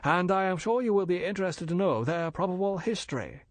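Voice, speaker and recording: A man acts out an older Chinese merchant in an audiobook story. He is bland, suave and endlessly courteous, choosing his formal words slowly and deliberately. The sound is dry and intimate. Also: high-pitched, animated, dry recording, pitched above the performer's own voice. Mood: politely informative. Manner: measured, deliberate, formal, politely evasive.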